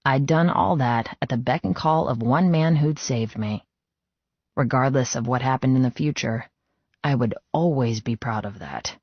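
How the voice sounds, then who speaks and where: A man's voice: mid-range voice, moderate pace, husky, no room sound, muffled recording. A man reading first-person fiction as a dramatic, scripted audiobook narration.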